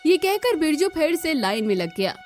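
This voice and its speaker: A woman's voice: high voice, sing-song, normal speed, soft background music. A woman reading scripted narration for a cartoon moral story.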